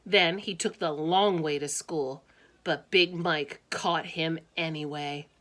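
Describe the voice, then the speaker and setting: Slow, low-pitched woman reading a storybook. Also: fairly even intonation, fairly bright tone. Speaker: teacher reading aloud. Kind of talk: children's storybook read-aloud with casual asides.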